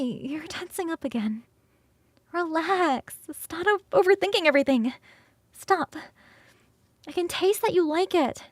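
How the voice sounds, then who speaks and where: A woman voicing a playful, hungry and affectionate succubus roommate in an ASMR roleplay, coaxing and teasing with sighs and soft, breathy turns. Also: very high-pitched, no echo, voice pushed up. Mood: delighted, teasing. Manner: coaxing, sighing, drawn-out savouring, shifting into soft whispers.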